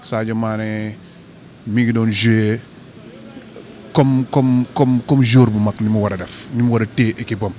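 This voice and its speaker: A man's voice: on the low side, fairly even intonation, a little slow, mellow. A man speaking emphatically in a street interview outdoors, into a handheld mic. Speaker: football fan interviewed. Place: snowy city street outdoors.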